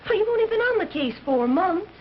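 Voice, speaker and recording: An actress with an American accent playing a detective's devoted, teasing wife in old-time radio drama style, wry and coaxing. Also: female voice, extremely high pitch, very animated, brisk. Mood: reassuring. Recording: dull, noisy old radio recording.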